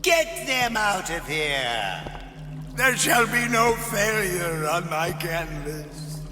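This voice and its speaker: An actor playing a flamboyant, tyrannical artist and impresario in a game, theatrical and grandiose, lurching between extremes. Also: male voice, sits high, expressive, slow pace, big-room sound. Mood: imperious frenzy. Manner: theatrical, grandiose, abrupt swings from shouting to whispers. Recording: music under the voice.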